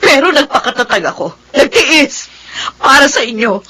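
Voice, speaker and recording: High, emotional Filipino wife and mother. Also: normal speed, pushed and bright, poor audio. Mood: hurt, reproachful. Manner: emotional, pleading reproach. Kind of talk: Filipino radio drama acting.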